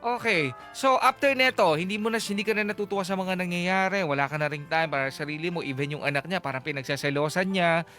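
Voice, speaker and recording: A man's voice: high-pitched, fast talker, pushed and bright. A man with a Filipino accent co-hosting a radio advice show, asking and summing up conversationally. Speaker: radio co-host. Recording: background music under the voice. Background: music.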